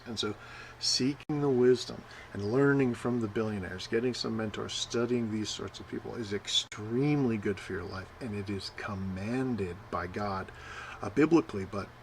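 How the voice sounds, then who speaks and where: Guy preaching, lowish voice, lots of uhs and right?, little bit of hiss behind. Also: low-quality recording. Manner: rambling, many fillers, asks "right?" often. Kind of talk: informal sermon.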